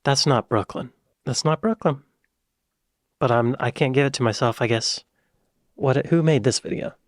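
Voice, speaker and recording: American guy reacting, excitable then muttering, dry close mic. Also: medium pitch, normal speed, dry recording. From United States. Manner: chatty, excitable, drops to muttered asides. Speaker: reaction video host. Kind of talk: casual reaction commentary.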